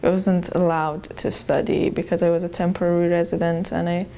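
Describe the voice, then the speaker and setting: A young woman from Iran, interviewed in a small plain room on a lapel mic, telling her story in a thoughtful, soft-spoken way with some restarts. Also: fairly low pitch, flat delivery, fairly quick. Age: young adult. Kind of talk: personal account.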